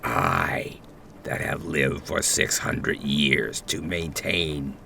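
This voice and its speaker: An ancient evil sorcerer gasps out his vengeance in a deep, gravelly voice. He drags his words out and swoops from shrieks down to low gloating in horror audio drama style, with a faint noise behind. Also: sharp tone, exaggerated voice. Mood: malevolent, gloating. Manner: shrieking, drawn-out, gloating delivery. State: gasping dying breath. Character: dying six-hundred-year-old evil sorcerer, malevolent and vengeful.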